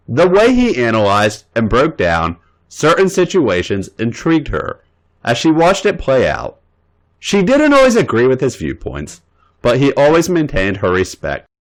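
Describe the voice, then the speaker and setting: A man reading fan fiction aloud as a podcast host, narrating in an expressive way. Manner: voices characters' lines with big pitch jumps.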